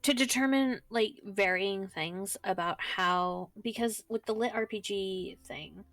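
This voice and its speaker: Slow halting woman, lots of ums, dry close mic, quiet music under it. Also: mid-range voice, no room sound. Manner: halting, full of ums and pauses. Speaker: co-streamer. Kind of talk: casual chat on a writing stream.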